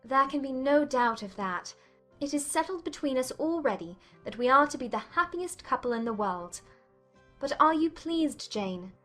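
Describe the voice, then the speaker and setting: Woman playing a bright, playful young sister. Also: high voice, lively intonation, moderate pace, soft background music. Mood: happy, assured. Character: happy, playful young woman in love, teasing her sister. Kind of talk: dramatized audiobook acting.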